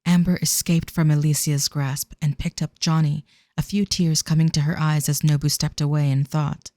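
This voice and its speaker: Creaky-voiced man narrating. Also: a little high, little pitch movement, normal speed, a little mellow, no echo, clean studio sound. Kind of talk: scripted audio drama narration.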